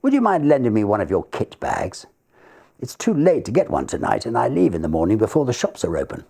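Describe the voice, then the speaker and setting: A man voicing an earnest, sensitive secretary in his twenties for a storybook, husky, eager and polite. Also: medium pitch, wildly swooping pitch, dark tone, voice pushed up, exaggerated voice. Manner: eager, quick-spoken, polite.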